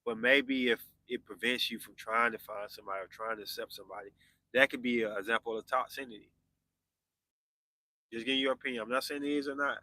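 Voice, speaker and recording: Bright-voiced man in his forties, chatting. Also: mid-range voice, steady tone, fast, no echo, low-quality recording. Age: 40s. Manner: loose and conversational, answering viewer comments. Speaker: podcast host. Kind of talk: casual live-stream chat with viewers.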